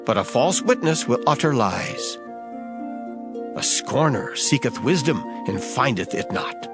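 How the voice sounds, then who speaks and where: A man's voice: mid-range voice, expressive, unhurried. A man giving a solemn, declamatory dramatized reading of scripture. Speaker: scripture reader. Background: music.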